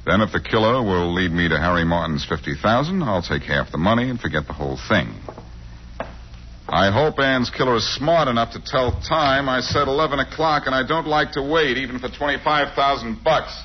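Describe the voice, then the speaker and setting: An actor playing a cocky, quick-witted amateur sleuth who needles people, in 1940s radio style on a muffled old recording. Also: male voice, medium pitch, slight background noise, close to an everyday voice. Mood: cool bargaining. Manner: smooth-talking, sardonic, teasing.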